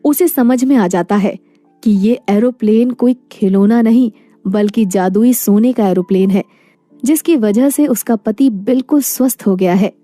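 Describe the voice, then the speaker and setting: A woman's voice: a little high, unhurried, warm tone, no room sound, soft background music. A woman narrating a scripted moral story.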